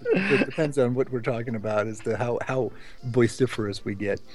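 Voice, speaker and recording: A man hosts a podcast in a casual, conversational style. He muses wryly in a middle-pitched voice, with music clearly present in the recording. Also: normal speed, low-quality recording. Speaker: podcast host.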